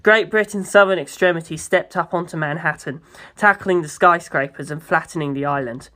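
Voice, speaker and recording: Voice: mid-range voice, normal speed, a bit bright. A person reading a first-person novel aloud as scripted audiobook narration. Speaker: amateur audiobook reader.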